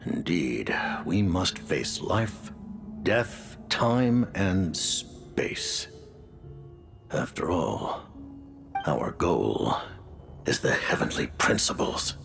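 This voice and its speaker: An actor playing a grave, stately older leader in a video game, speaking formally and solemnly in a gravelly voice. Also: male voice, sing-song, very slow, music in the background, full character voice. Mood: solemn, ominous. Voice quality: deep, gravelly. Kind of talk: theatrical video game voice acting.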